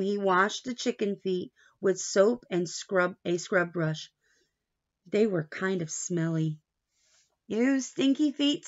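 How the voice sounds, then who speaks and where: A woman reading a picture book aloud to young children like a teacher, playful and expressive, with asides, questions and some Spanish words mixed in. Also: medium pitch, dull audio. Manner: playful, expressive, with gasps and exaggerated reactions; drops Spanish words in and translates them.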